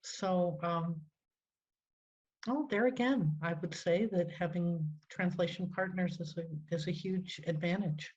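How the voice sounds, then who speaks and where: An older woman, a poet and translator, speaking hesitantly with many pauses on a video call through a headset. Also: lowish voice, darkish tone. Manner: hesitant, many fillers, thoughtful. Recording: muffled lo-fi call audio.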